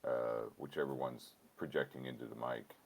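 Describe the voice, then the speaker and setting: Guy with a super low deep voice, calm measured talk on a voice call, giving photo notes. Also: vocal fry. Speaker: co-host of an online photography feedback session. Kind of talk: casual chat. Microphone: voice chat on a computer.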